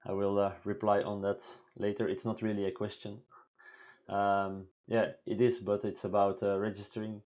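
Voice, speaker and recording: A man in his thirties from Belgium with a deep voice, answering viewer questions in halting English on a home live stream. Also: monotone, a little mellow, lo-fi sound. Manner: halting, many fillers and restarts, non-native English phrasing. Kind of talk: casual live Q&A talk. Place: small room indoors at home.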